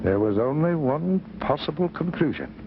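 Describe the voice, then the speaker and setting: An actor playing an absorbed bachelor professor, genial but evasive, in theatrical 1950s radio drama style. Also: male voice, on the high side, sing-song, normal speed. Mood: obsessed, intense. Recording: muffled, noisy 1950s radio recording.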